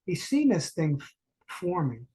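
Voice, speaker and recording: A man's voice: a little high, sing-song, unhurried, mellow. A man hosting a solo podcast, congested from allergies, talking casually with many restarts and repeated words. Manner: repetitive, restarting, uneven emphasis. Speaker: podcast host.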